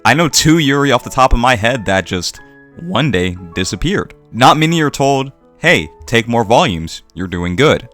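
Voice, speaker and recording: A man's voice: medium pitch, very animated, normal speed, fairly bright tone, faint music behind. A man hosting and narrating a scripted video essay.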